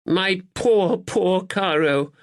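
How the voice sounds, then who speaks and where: A man voicing a doting young gentleman who fusses tenderly. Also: very high-pitched, wildly swooping pitch, measured pace, voice pushed up, full character voice.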